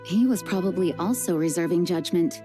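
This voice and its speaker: An actress playing a composed, dutiful adult woman knight who leads, speaking in a measured, earnest and formal way. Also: lowish voice, natural voice. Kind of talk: video game voice acting. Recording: game music under the voice. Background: game music.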